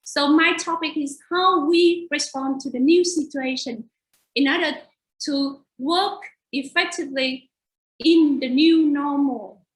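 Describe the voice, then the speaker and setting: High-voiced Vietnamese woman presenting, echoey. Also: takes it slowly. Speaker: university teacher educator. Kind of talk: webinar slide presentation.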